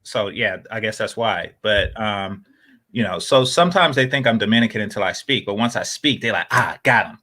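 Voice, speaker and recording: A man's voice: animated, normal speed. Rambling man in casual podcast chat. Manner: rambling, many fillers and restarts; drops into low, quiet asides.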